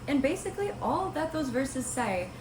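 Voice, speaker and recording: A young woman hosting a Bible study vlog, a little raspy from a cold, chatty and rambling with many ums and likes. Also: high voice, very animated, normal speed, music in the background. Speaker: host of a Bible study video series. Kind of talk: casual talking-to-camera vlog. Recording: roomy with clear background noise.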